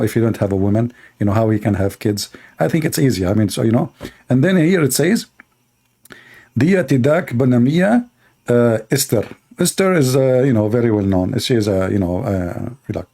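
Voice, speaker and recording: A man's voice: medium pitch, gravelly, dark tone. A man hosting a livestream debate, talking in a sarcastic, mocking, rambling way with lots of fillers.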